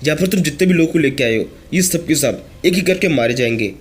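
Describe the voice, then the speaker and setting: A hoarse man reading the lines of a cold, ruthless young cultivator who issues threats. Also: a little high, lively intonation, fast talker. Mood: threatening. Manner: threatening, commanding.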